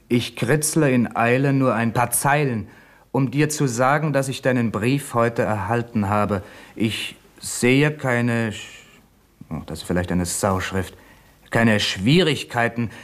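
A man's voice: medium pitch, measured pace, close to an everyday voice. An actor playing a dutiful police assistant reporting to his chief in a 1970s radio play. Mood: reading aloud. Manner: eager, deferential. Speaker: police officer.

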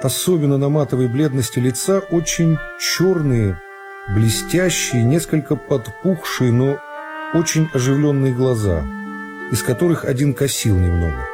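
A man reading a classic novel as a scripted radio narration, in a measured, unhurried storytelling manner. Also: medium pitch, expressive. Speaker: narrator of a literary radio serial. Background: music.

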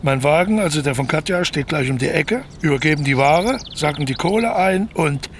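An actor playing a slick, bossy con man in a German radio drama, smooth-talking and controlling. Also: fairly high pitch, lively intonation, normal speed, heavy background noise. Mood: bossy, impatient.

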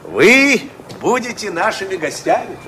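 An actor playing an ingratiating expatriate gentleman hosting guests in a radio play, courtly and repetitive in manner. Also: high-pitched, big pitch swings, a little slow, noisy background. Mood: effusive welcome. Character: effusive, ingratiating expatriate gentleman, club host.

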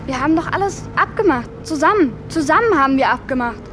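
A woman's voice: high-pitched, expressive, quick pace, background noise. A woman playing a timid, naive teenage girl in a radio drama, hesitant and pleading. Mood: hurt, pleading. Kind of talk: German radio drama acting. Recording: music behind the voice. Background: music.

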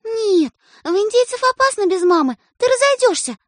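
A woman's voice: extremely high pitch, big pitch swings, moderate pace, no echo, studio quality, pitched above the performer's own voice. A woman voicing a bossy little girl who coaxes and lectures her younger brother. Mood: coaxing. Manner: wheedling, then stern and preachy. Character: bossy little girl, coaxing older sister.

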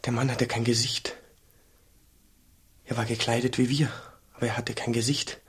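An actor playing a humble, earnest monk in a radio drama, deferential and hesitant, with an agitated intensity. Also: male voice, medium pitch, level tone, moderate pace, husky. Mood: urgent, awed. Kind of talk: German radio play acting.

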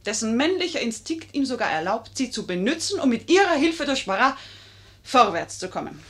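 A clever, proud lady of passion: somewhat high voice, eloquent and pointed, stagey delivery of a 1950s German radio play. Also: very animated, brisk. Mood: scornful, impassioned.

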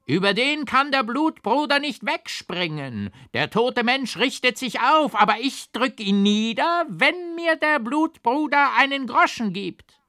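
A man's voice: expressive, a little slow, few pauses, sharp tone, no room sound, studio quality, exaggerated voice. A man voicing a mad, ragged old beggar woman who screeches and pleads for coins. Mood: shrieking. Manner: shrill, frantic, screeching. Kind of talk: audiobook character voice.

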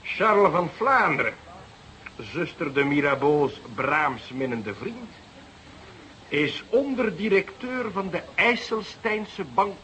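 An actor playing an earnest junior police detective who reports and gently protests, in a Dutch radio drama. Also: male voice, a little high, big pitch swings, slow pace, heavily acted. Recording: hissy, noisy radio drama recording.

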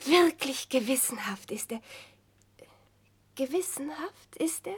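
An actress playing a sharp lady companion in a radio drama, feigning meek ignorance with hinting, ironic questions in a husky, breathy voice. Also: high-pitched, lively intonation, slow pace. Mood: ironic, pointed. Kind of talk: 1960s German radio drama acting.

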